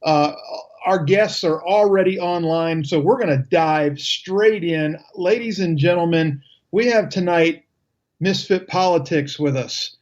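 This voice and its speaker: A man's voice: on the high side, a little slow, darkish tone, muffled recording. A man hosting a live radio talk show in a casual, chatty style.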